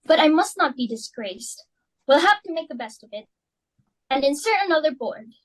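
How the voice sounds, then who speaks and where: A woman's voice: sits high, very animated, normal speed, low-quality recording. A woman playing a domineering, socially ambitious mother and hostess in a dramatic reading of a stage play, with an imperious, melodramatic, scolding manner. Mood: scolding, bossy.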